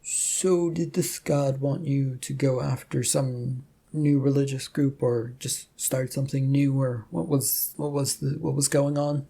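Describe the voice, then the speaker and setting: Flustered storybook man, acted by the narrator: mellow, rough-edged voice, halting, repetitive, awkwardly skeptical. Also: mid-range voice, steady tone. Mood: baffled. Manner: hesitant, stammering, repeats words.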